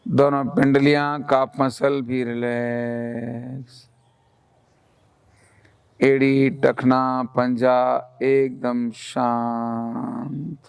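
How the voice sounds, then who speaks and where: A man guides a relaxation meditation at an unhurried, very slow pace. His mid-pitched voice has a noticeable creak. He gives calm, soothing instructions as gentle, repeated commands. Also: dry recording, clean studio sound.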